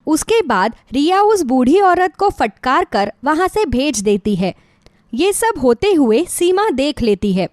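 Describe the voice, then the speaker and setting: A woman's voice: high-pitched, very animated, a little slow, no echo, studio quality. A woman reading scripted narration for a children's moral story.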